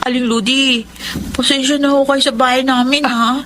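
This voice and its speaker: A woman's voice: sits high, flat delivery, moderate pace, over loud music, natural voice, rough recording. An actress playing a naive, hopeful teenage country girl in a radio drama, pleading and deferential. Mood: polite, eager. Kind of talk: Tagalog radio drama acting.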